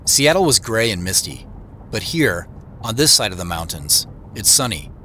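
An actor playing a wry, reflective man who narrates his own mystery in an audio drama, in measured phrases. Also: fairly low pitch, big pitch swings, pushed and bright, a little hiss or hum. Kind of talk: first-person scripted audio drama narration. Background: music.

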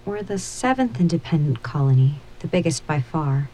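A woman's voice: deep voice, very animated, moderate pace, warm tone, slight background noise, poor audio. An actress playing a terse, impatient female commander of a space mission in an audio drama. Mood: brisk, commanding.

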